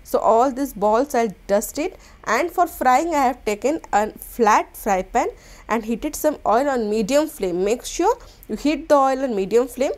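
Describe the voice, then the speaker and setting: A woman, hosting a cooking channel and explaining a recipe step by step in a gentle, instructional voice-over. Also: a little high, lively intonation, unhurried. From India.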